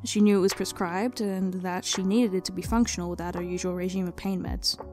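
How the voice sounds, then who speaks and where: A woman's voice: lowish voice, level tone, brisk, a little mellow. A woman narrating her own written story as a dramatic reading, in the manner of a storyteller. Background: background music.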